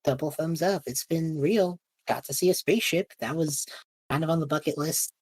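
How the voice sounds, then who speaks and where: Low husky woman doing casual rpg improv, rambly and goofy, dry mic. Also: normal speed, darkish tone, no room sound. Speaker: tabletop player. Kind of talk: improvised in-character tabletop roleplay, casual.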